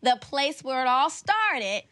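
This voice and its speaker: A woman hosting a television reunion special, putting playful, probing questions to her guests. Her voice is bright and sits a touch high, and her pitch swings widely as she teases and presses. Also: very animated, slow pace. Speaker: host.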